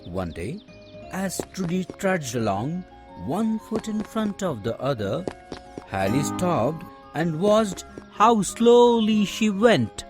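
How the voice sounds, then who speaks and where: Casting: expressive narrator for children's bedtime fables, mid-range voice with huge swings in pitch, music underneath. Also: slow. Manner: theatrical, expressive. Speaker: story narrator. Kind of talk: animated rhyming children's storytelling.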